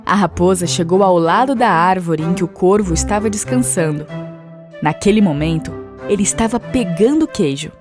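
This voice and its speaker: A woman's voice: lowish voice, moderate pace. A woman with a Brazilian accent reading a scripted children's fable as a storyteller. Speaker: narrator. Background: instrumental music.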